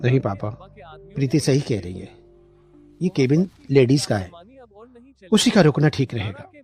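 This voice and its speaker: A man's voice: medium pitch, sing-song, unhurried, mellow. A man voicing a protective, reassuring young Indian husband and son in a cartoon story. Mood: concerned. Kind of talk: Hindi animated moral story dialogue. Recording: music bed behind the voice.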